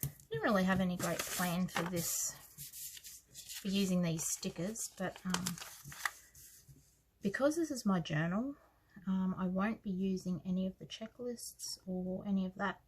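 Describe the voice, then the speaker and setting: Australian woman, hoarse and croaky today, slow soft-spoken planner chat with quiet asides. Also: mid-range voice, background noise. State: scratchy, croaky voice. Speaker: planner vlogger. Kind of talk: casual planner walkthrough.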